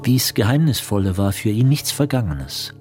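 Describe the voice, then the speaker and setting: A man narrating a literary radio drama in a measured storytelling manner. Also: on the low side, sing-song. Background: instrumental music.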